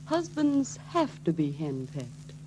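An actress playing a bossy, fussing wife, prim and chiding, in 1940s film style. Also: female voice, deep voice, wildly swooping pitch, slow pace, mellow, heavily acted. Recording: faintly noisy 1940s film soundtrack.

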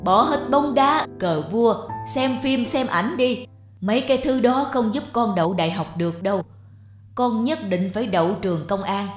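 A man's voice: high-pitched, expressive, normal speed, soft background music. Stern, lecturing policeman father. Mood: stern, angry. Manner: emphatic, lecturing. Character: stern middle-aged police officer father, proud, later softening. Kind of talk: animated moral drama acting.

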